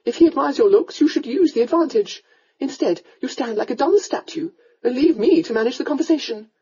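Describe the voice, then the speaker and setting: A woman's voice: expressive, a little fast, mellow, husky, muffled recording. A woman voicing a fussy, domineering countess scolding her daughter in a storybook reading. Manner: scolding, shrill, melodramatic.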